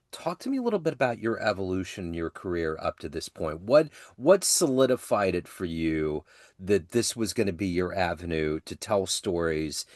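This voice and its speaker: A man's voice: low-pitched, dry recording, clean studio sound. A man hosting an interview, asking long winding questions and giving short affirmations. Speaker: podcast host, interviewer.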